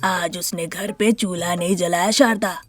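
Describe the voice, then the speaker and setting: A woman voicing a stern older male head of a family in a story, speaking slowly and deliberately, with music behind. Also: fairly low pitch, fairly even intonation, close to an everyday voice. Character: gruff older family patriarch, stern and measured.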